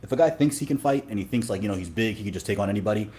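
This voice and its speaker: A man's voice: fairly low pitch, racing, mellow. A man from Sudan, a dating coach, talking casually in an interview with many fillers and restarts.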